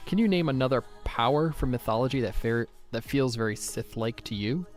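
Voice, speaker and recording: A man's voice: medium pitch, animated, brisk, soft background music. A man hosting a light-hearted quiz interview in a jokey, conversational way. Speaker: podcast host.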